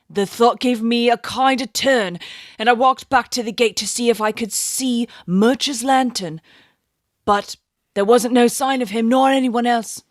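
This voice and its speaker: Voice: mid-range voice, dry recording, clean studio sound, close to an everyday voice. A performer voicing a garrulous, superstitious working-class London police constable in a dramatized audiobook, with colloquial, rambling storytelling. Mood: nervous, amazed.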